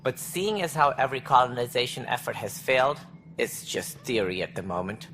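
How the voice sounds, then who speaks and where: An actor playing an officious alien station director in a video game, speaking precisely and formally with a wry, earnest streak. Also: male voice, medium pitch, very animated, fairly quick, fairly bright tone, soft background music, rough recording. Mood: wry, advisory. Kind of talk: video game dialogue acting.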